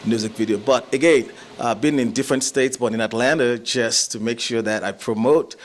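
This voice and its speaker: A man from Nigeria, a musician and actor, chatting in an interview, relaxed and chuckling, with many fillers and restarts. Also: medium pitch, normal speed. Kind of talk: casual interview chat.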